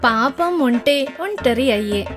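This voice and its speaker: A woman reading scripted narration for a children's animated story. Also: high-pitched, slow pace. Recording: music behind the voice.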